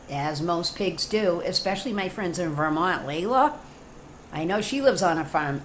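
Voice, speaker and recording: A woman's voice: on the low side, a bit bright, slight background noise. A woman teacher reading a storybook aloud to children, playfully addressing young listeners with chatty asides.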